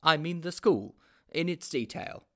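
A man's voice: fairly high pitch, expressive, unhurried, sharp tone, pitched above the performer's own voice. A man voicing a pompous, self-important schoolboy detective who speaks solemnly. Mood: evasive.

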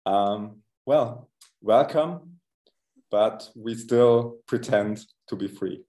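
A man's voice: fairly low pitch, extremely slow, warm tone. A man speaking as an interview guest, hesitant, with many fillers and restarts. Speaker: guest from an arts network. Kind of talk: conversational interview.

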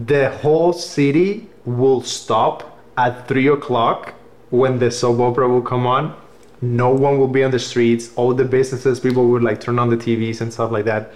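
Brief: man from Cuba, upbeat interview host, chatty storyteller. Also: medium pitch. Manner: enthusiastic, storytelling. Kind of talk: casual interview chat.

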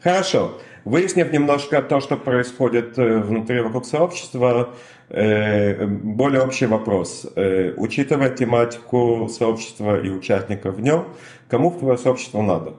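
A man's voice: mid-range voice, normal speed, darkish tone, roomy sound. A man hosting a podcast, asking long, probing questions. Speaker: podcast host. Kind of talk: interview questions.

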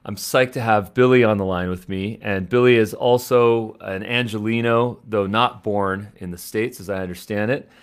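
A man's voice: fairly low pitch, steady tone, moderate pace, few pauses, clean tone. A man hosting a podcast interview, introducing his guest with upbeat energy. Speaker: podcast host.

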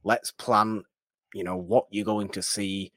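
A man's voice: on the low side, fairly even intonation, slow, a bit bright, no room sound, studio quality. A man co-hosting a podcast in casual film discussion, often repeating his words for emphasis as he goes. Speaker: podcast co-host.

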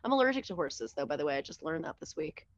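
Young woman guest, lower voice, animated and profane, dull lo-fi dry mic. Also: no room sound. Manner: animated, profane, quick jokes with filler "like". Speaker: podcast guest. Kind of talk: casual chat.

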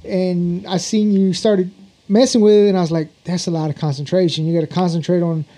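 Male interviewer, informal and slangy, high-pitched yet mellow tone. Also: level tone, a little fast. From Houston, Texas. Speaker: interview show host. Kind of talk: casual interview chat.

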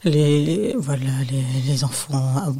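A woman's voice: low voice, measured pace, dark tone, faint music behind. A woman with an accent from France, a guest author answering interview questions in a candid, emphatic and informal conversational way. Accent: French from France.

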